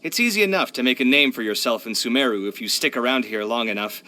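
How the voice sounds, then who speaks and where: A man's voice: medium pitch, quick pace. A man voicing a proud, earnest young architect for a video game, chatty and candid in his delivery. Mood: bashful, modest. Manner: chatty, candid, expressive, sighing. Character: young male architect, proud, earnest, expressive, hard-luck. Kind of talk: video game character voice lines.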